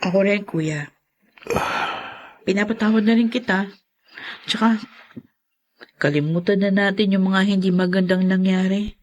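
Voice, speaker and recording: A woman's voice: medium pitch, fairly even intonation, a little slow, long pauses, lightly acted. A woman playing a respectful, forgiving daughter and younger sister in a Filipino radio drama. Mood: forgiving, earnest.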